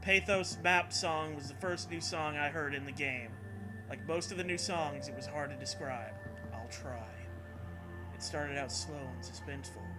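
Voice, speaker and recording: Man, raised pitch, mocking story reading, joking commentary, foul-mouthed, excitable sarcasm, music playing behind. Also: moderate pace. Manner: profane, sarcastic, excitable, laughs often. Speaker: host reading internet horror stories aloud.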